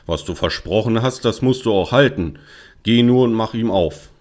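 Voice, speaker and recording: A fatherly fairy-tale king, read by a man, speaks with calm authority. He is firm and principled, measured in how he addresses his child. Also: fairly low pitch, lightly acted. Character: stern fatherly king, middle-aged, principled. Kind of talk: fairy-tale storybook character reading.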